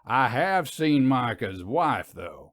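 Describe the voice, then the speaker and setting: A man performs a folksy Southern minister from a storybook, a commanding showman of a preacher. His pitch swings widely as he draws out his words in slow, emphatic oratory. The accent is Southern US and the sound is dry and close. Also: very animated, no echo. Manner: oratorical, drawn-out emphatic delivery. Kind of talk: audiobook character reading.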